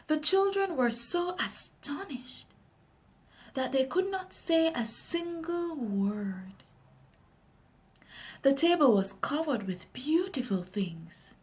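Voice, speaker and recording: A woman with a Jamaican accent reading a children's story aloud in an expressive, unhurried way. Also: high-pitched.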